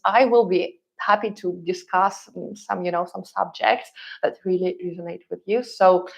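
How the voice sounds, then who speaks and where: A woman in her thirties whose first language is Russian hosts a live stream and answers viewers' questions. She talks at a middling pitch, chatty and loose, often pausing on fillers and restarting her sentences. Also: gravelly, rough recording. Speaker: relocation consultant and host.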